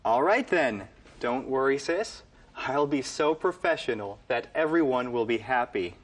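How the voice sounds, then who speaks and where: A man playing a young cafe worker and teasing brother in a scripted sitcom, speaking glibly with clear enunciation. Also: medium pitch, animated, unhurried. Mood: breezy, teasing. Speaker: actor in an English-teaching sitcom.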